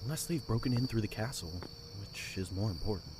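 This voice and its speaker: An actor playing a confident young castle guard in an audio drama, talking in a teasing way. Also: male voice, lowish voice, lively intonation, a little fast, noisy background, lightly acted. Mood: easygoing.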